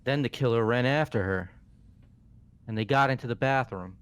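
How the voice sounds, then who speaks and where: A man playing a male high school student sleuth in a fan-voiced game. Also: normal speed, a bit bright, clear, resonant tone, close to an everyday voice. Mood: tense. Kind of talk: fan voice acting of a video game script.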